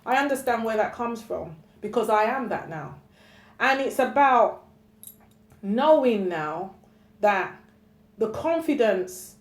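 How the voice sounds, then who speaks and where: Candid woman poet, echoey room. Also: medium pitch. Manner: candid, emphatic, with frequent "you know" and check-in questions. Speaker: poet discussing her own work. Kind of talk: reflective, conversational personal talk. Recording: roomy, echoey sound.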